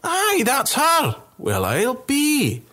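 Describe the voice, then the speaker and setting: A squealing drunk soldier. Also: male voice, high voice, wildly swooping pitch, a little slow, slightly rough, pitched above the performer's own voice, exaggerated voice. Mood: excited drunk. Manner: shouting, squealing, slurred. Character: lecherous-leaning drunk male soldier, excitable, loud.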